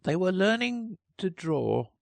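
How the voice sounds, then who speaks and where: Guy doing a squeaky sleepy dormouse, sulky and indignant, storybook critter. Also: big pitch swings, takes it slowly, creaky, no echo, full character voice.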